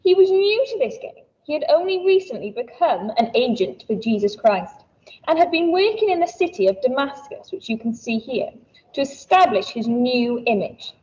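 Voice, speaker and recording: A woman's voice: sits high, animated, moderate pace, darkish tone. A woman teacher giving a recorded school assembly, cheerful and encouraging as she talks to children. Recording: dull lo-fi sound, a bit distant.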